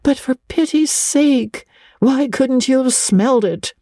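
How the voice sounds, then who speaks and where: A woman's voice: high-pitched, takes it slowly, warm tone, no echo, clean studio sound, pitched above the performer's own voice. A woman reading aloud and voicing a stern, curt older spinster woman. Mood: exasperated. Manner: curt, sniffing, grim.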